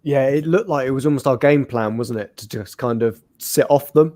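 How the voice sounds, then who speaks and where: A man's voice: mid-range voice, normal speed, mellow. A man with a Northern English accent hosting a football podcast, leading the talk in an easygoing way. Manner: easygoing, leads the conversation. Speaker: podcast host. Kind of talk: casual football chat.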